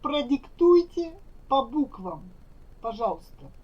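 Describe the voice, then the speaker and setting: A woman teaching Russian, saying each phrase slowly and carefully so learners can repeat it. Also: sits high, big pitch swings, slightly rough. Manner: careful, deliberate enunciation. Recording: dull lo-fi recording.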